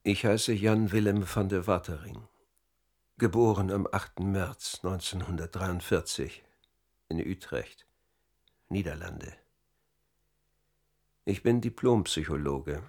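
Casting: psychologist in his fifties, lowish voice, formal, explanatory radio-drama testimony. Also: slow, long pauses, a little mellow, exaggerated voice. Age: 50s. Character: Dutch academy psychologist under interrogation, precise and defiant.

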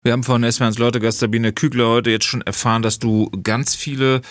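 A man's voice: medium pitch, level tone, fast, no echo, studio quality. A man hosting a radio interview, asking probing questions with frequent fillers. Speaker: radio host. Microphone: studio microphone.

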